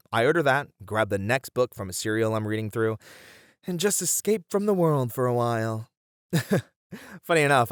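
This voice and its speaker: A man plays a friendly, bookish bunny man in an ASMR roleplay. His mid-pitched voice swings expressively as he chats helpfully, with a bashful streak. The sound is dry and close, with hardly any room. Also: a bit bright, smooth, no echo. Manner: chatty, helpful, easily flustered, breaking into chuckles and hesitations. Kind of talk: ASMR roleplay, one-sided conversation with the listener.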